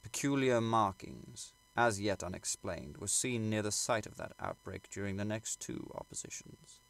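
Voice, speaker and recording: Male audiobook narrator, lowish gravelly voice, measured formal delivery of classic literary prose. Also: huge pitch swings, fairly bright tone. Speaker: audiobook reader.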